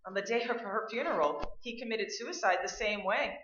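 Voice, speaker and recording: Roomy stage sound: woman acting no-nonsense, practical drama heroine, bright and emphatic. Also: on the high side, normal speed, slight background noise, natural voice. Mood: matter-of-fact. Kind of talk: staged radio play acting. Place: indoor stage, live performance. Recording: roomy, dull stage recording.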